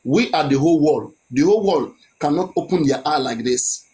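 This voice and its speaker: A man's voice: on the high side, expressive, moderate pace, darkish tone. A man, giving his opinion in a chat and pressing his points with repeated rhetorical questions.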